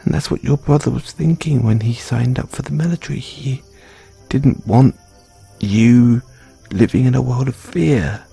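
Guy doing a gentle comforting boyfriend roleplay, soft and hushed, creaky voice, faint music behind him. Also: medium pitch, measured pace. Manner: soothing, tender, hushed, frequent sighs. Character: gentle young boyfriend consoling his grieving partner. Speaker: audio roleplay performer. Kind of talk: intimate comfort audio roleplay.

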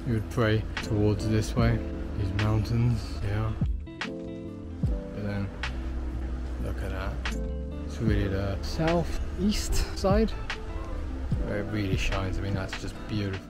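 Male travel vlogger, 30s, low register, casual and admiring, loud music behind. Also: takes it slowly, pauses often. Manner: admiring, repetitive exclamations. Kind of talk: casual travel vlog chat. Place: outdoors at a mountain mosque.

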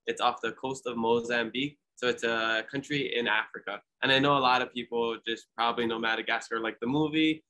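Bright-voiced young man. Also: mid-range voice, steady tone, fairly quick, hardly any pauses. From Hawaii. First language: Hawaiian. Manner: easygoing, restarts often, asks the audience questions. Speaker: returned volunteer speaker. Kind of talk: casual presentation on a video call. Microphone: video call webcam.

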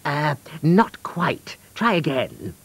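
A man's voice: fairly high pitch, big pitch swings, slow pace, a bit bright, breathy edge, voice pushed up, full character voice. A man voicing a flustered, earnest male magician in a children's storybook. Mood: coaxing.

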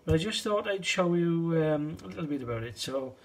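Husky British man, higher-pitched voice. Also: animated. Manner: unhurried, low-key, conversational. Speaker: hobbyist video host. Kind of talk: casual product demonstration. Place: small indoor space, shed.

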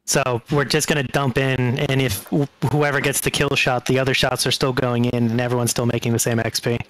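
A man's voice: mid-range voice, little pitch movement, brisk, a bit bright, poor audio. A man who plays in an online tabletop game, talking casually and explaining things informally over a call.

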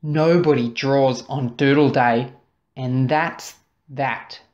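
A woman's voice: very deep voice, fairly even intonation, slow pace, darkish tone, highs cut off. A woman voicing a stern, exasperated mother in a storybook, scolding emphatically. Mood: firm.

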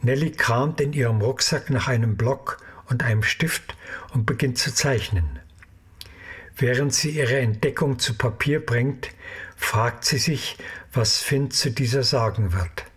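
A man narrating an audio drama from a script in a slightly husky voice. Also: mid-range voice, measured pace, fairly bright tone.

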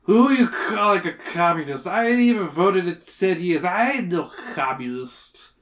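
A jeering tough guy from the street, put on by a man reading aloud, gruff and combative. He talks back in slangy storybook wiseguy style, in a somewhat high male voice. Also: expressive, moderate pace, fairly bright tone. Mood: jeering, indignant.

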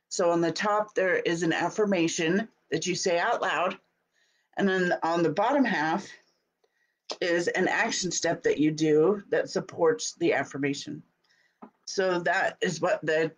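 A woman in her thirties hosting a casual live video from her home office, sounding stuffy and scratchy from a cold, chatty and quick to laugh. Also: on the low side. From Utah. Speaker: host. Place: home office, small room indoors. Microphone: webcam at desk.

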